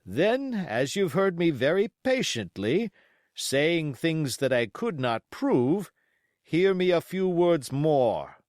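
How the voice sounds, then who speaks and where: Male reader playing stern sea captain, audiobook character, raised pitch, very slow, clipped, stubborn, emphatic. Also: sing-song, darkish tone, no echo, clean studio sound. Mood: firm, insistent.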